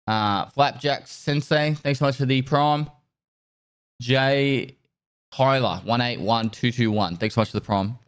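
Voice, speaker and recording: A man with a stuffy nose from a cold, chatting casually on a live stream and thanking subscribers in a rambling, relaxed way. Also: mid-range voice, measured pace, clean tone.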